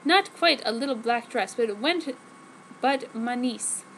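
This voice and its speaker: A high-pitched woman reads amateur fiction aloud in a mock-serious, theatrical way. Her delivery is exaggerated and dramatic. There is some noise behind her on the recording. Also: expressive, a little slow, fairly bright tone. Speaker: amateur fanfiction reader. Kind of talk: exaggerated dramatic story reading. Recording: noticeable background noise.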